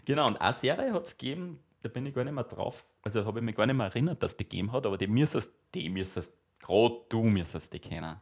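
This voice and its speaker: A young man co-hosting a casual podcast, chatty and quick to laugh. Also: mid-range voice, lively intonation, slightly rough. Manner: chatty, hums cartoon theme tunes, laughs easily. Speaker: podcast co-host. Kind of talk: casual chat.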